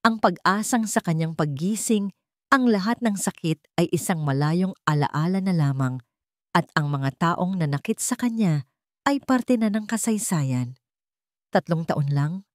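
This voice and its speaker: A woman with a Filipino accent reading a dramatic story as narrator, with deliberate, suspenseful phrasing. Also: fairly low pitch, lively intonation, no room sound, studio quality.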